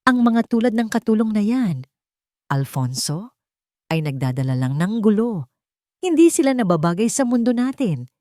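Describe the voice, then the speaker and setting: A woman's voice: mid-range voice, sing-song, moderate pace, long pauses, mellow, no room sound, studio quality, pitched above the performer's own voice, lightly acted. A woman voicing a haughty, imperious older rich mother in an audio love story, with a cutting manner. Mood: angry, scornful.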